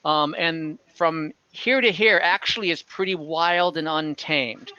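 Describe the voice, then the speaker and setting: A man's voice: a little high, unhurried, pushed and bright, smooth, no room sound, muffled recording. A man running a tabletop game as game master, explaining the world in a conversational, improvised narration over an online call.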